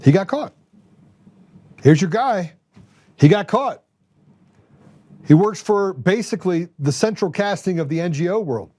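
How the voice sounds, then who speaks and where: Mellow-voiced, emphatic male talk show host. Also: high voice, lively intonation, measured pace, pauses often. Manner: emphatic, repeats phrases for effect. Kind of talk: opinionated political commentary, introducing clips.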